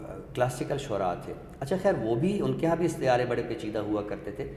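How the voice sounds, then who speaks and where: A Pakistani man co-hosting a literary talk, speaking in a scholarly, explanatory way with deliberate phrasing. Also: medium pitch, sing-song, a little mellow. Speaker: co-host discussing Urdu literature. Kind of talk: literary talk with poetry recitation. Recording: echoey hall, audible background noise.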